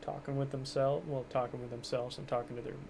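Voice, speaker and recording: A gravelly-voiced man talks in a flat, rambling, self-deprecating way while he streams a game from home, keeping the volume down for the house. His cheap microphone picks up plenty of hiss, with engine sounds from the game running under his voice. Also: mid-range voice, fast. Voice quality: monotone. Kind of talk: casual gameplay commentary. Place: home, kept quiet for others in the house.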